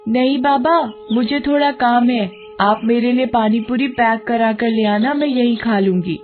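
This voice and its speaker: A woman's voice: a little high, a little slow, lightly acted. A woman voicing an earnest young elder sister. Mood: anxious. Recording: dull lo-fi audio, faint music.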